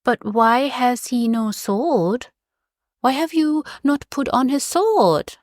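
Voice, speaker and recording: A woman reading aloud, voicing a lively, bold young boy. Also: high voice, takes it slowly, dry recording, clean studio sound, voice pushed up. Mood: cheeky.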